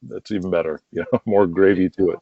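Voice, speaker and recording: A man's voice: deep voice, fairly even intonation, a little fast, slightly rough, dry recording, phone-quality sound. A man chatting casually and enthusiastically as an interview guest. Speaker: podcast guest, wrestling promoter and radio drama creator.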